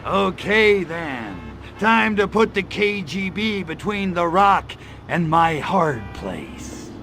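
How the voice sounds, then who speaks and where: A man playing a cocky, crude, trigger-happy alien invader in a video game, with a gravelly voice and a sarcastic drawl. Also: high-pitched, sing-song, slow, bright, forceful tone, faint music behind, heavily acted. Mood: swaggering. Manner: sarcastic, smart-alecky drawl. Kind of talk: video game cutscene acting.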